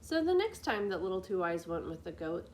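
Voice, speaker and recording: A woman reading a children's fairy tale as a storyteller, with expressive scripted narration. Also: lowish voice, a little fast, a little hiss or hum. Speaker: library storyteller.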